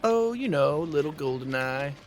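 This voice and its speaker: An actor playing a cocky, wisecracking young gamer, bantering casually in an audio drama. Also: male voice, fairly high pitch, expressive, moderate pace, a little hiss or hum.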